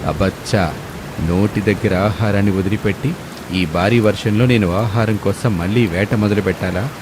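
A man voicing a hungry, menacing eagle in a bird cartoon, speaking in a slow, gruff, demanding way. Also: fairly low pitch, background noise, close to an everyday voice. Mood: hungry, refusing.

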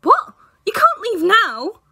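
A woman's voice: very high voice, wildly swooping pitch, takes it slowly, vocal fry, bright, forceful tone, heavily acted. A woman playing a sceptical, forthright young university student in a sci-fi audio drama, dry and questioning. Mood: shocked.

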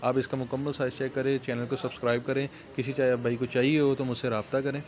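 A man's voice: medium pitch, level tone, quick pace. A middle-aged man, casually demoing a welding machine at his shop counter and calling viewers "brother". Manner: chatty, repeats "bhaijan" and "theek hai ji". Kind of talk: casual product demo.

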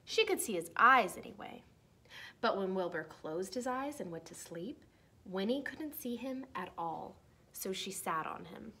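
A young woman reads a children's picture book aloud in an expressive, deliberate way for young listeners. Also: mid-range voice, breathy edge. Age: young adult. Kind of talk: storybook read-aloud. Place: small decorated room indoors.

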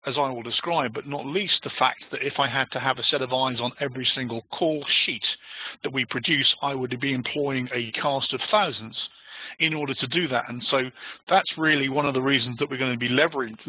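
A man with a British accent giving a webinar presentation in a measured, dryly humorous manner. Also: mid-range voice, husky, no echo. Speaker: presenter, chief operating officer. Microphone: phone or web conference line. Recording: tinny phone-like line.

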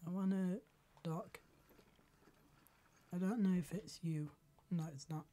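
A woman streaming a game live, hoarse from a cold and often clearing her throat, talking in slow, halting asides to herself. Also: lowish voice, steady tone, dark tone. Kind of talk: casual live game commentary.

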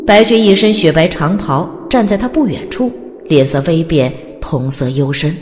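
A woman's voice: sits low, animated, moderate pace, a little mellow. A woman reading scripted story narration in a dramatic audiobook style. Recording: long reverb, faint background noise.

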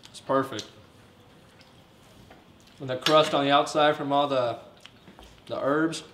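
A man's voice: mid-range voice, steady tone, slow pace, a bit bright. A young man chats casually as a chef's helper. His voice comes through an echoey kitchen space, with slight background noise. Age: young adult. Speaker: chef's assistant. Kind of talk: casual chat. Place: commercial-style kitchen, indoors.

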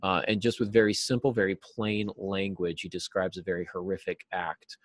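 A man in his forties giving earnest, explanatory answers as an interview guest, stressing key words deliberately. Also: low-pitched, little pitch movement, no echo. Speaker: writing teacher and novelist, interview guest. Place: small cluttered office, video call.

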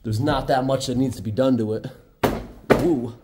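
A man's voice: medium pitch, normal speed. A man giving casual, off-the-cuff vlog commentary as he explores abandoned buildings. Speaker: urban explorer vlogger. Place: abandoned buildings and outdoors in rain. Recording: noisy on-location camera audio with ambience. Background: rain and ambient noise.

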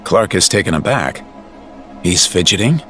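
A man's voice: deep voice, lively intonation, normal speed, a bit bright, faint music behind. A man delivering scripted dramatic narration for an audio drama.

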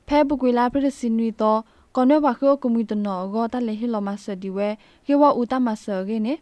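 Higher-pitched woman reading radio news. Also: fairly quick, darkish tone, no echo.

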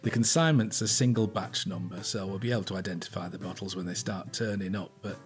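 A British actor playing a dry, weary older detective inspector at home in an audio drama. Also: male voice, deep voice, fast talker, soft background music, close to an everyday voice. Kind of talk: naturalistic audio drama dialogue.

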